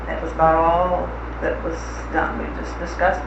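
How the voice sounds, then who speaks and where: A middle-aged American woman giving an informal advisory talk to a committee in a measured, halting way, recorded on 1960s tape. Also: sits low, completely flat delivery, creaky. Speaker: guest speaker advising a community planning meeting. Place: echoey meeting room, steady noise behind. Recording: noisy muffled 1960s reel-to-reel tape, echoey room.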